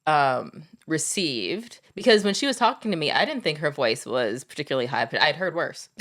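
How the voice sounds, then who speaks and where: A woman's voice: low-pitched, big pitch swings, normal speed, a bit bright. A woman co-hosting a podcast, candidly telling stories in casual conversation. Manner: candid, eager storytelling. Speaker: podcast co-host.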